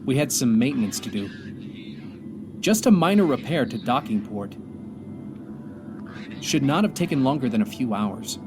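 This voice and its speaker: A man performs a stranded astronaut commander in an audio drama monologue. He is weary and grieving, yet holds on to hope, reflective and halting in a medium-pitched male voice. Music plays clearly behind him. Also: very animated, lightly acted. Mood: somber.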